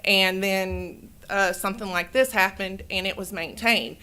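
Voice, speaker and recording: Lady at the podium mic, loud and bright, kinda rambly. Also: mid-range voice, fairly even intonation, a little slow, smooth. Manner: rambling, self-correcting. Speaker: resident giving public comment. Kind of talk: public comment at city meeting. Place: city council chamber. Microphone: podium microphone.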